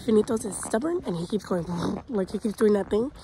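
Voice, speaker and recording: A young woman vlogging to camera at an outdoor petting farm, gushing excitedly and chatting to the animals, filmed on a phone held at arm's length. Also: mid-range voice, brisk. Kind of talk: casual vlog chat to camera. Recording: noisy outdoor phone recording.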